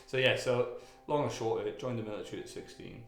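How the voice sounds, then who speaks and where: A man from Wales, a guest telling his own story in a candid interview, halting, with many fillers and restarts. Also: medium pitch. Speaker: guest, army veteran. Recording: echoey room with music behind.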